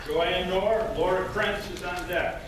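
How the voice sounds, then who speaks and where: A man's voice: high-pitched, fairly even intonation, slow pace. Man announcing in an echoey hall. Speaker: convention moderator. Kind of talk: calling out speakers at a convention. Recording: echoey hall from far off, noisy.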